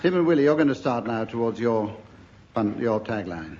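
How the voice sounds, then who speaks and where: A man's voice: medium pitch, big pitch swings, moderate pace. A man chairing a comedy panel game, speaking as a broadcast host. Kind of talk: broadcast panel show hosting. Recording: lo-fi radio recording, noisy live show.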